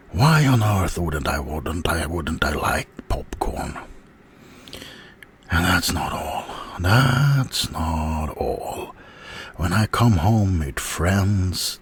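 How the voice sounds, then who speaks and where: A man voicing an offended, self-pitying werewolf in an ASMR roleplay, talking haltingly and often dropping to a whisper. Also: low voice, slight background noise. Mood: aggrieved. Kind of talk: ASMR roleplay monologue in a mock interview.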